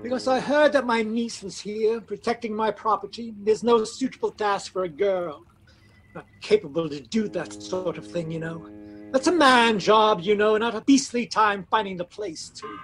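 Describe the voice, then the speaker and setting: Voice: mid-range voice, moderate pace, a bit bright, soft background music, close to an everyday voice, low-quality recording. An actor playing a haughty, blustering British aristocrat with a British accent in an old-time radio drama. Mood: haughty, irritated. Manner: pompous, blustering, dismissive. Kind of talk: 1940s radio detective drama acting.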